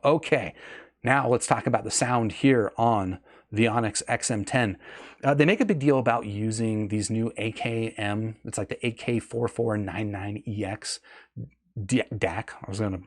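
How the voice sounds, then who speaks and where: A man's voice: lowish voice, breathy edge. A man giving a casual product review as a reviewer, talking candidly and conversationally as if thinking aloud. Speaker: audio gear reviewer.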